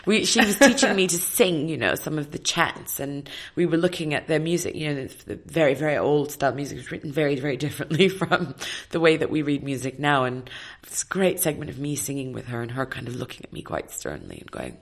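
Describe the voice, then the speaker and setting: A woman in her thirties with a low, slightly husky voice. She speaks as an opera singer being interviewed. Her storytelling is reflective and animated, full of fillers and restarts. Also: a little fast, few pauses. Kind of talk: casual interview chat.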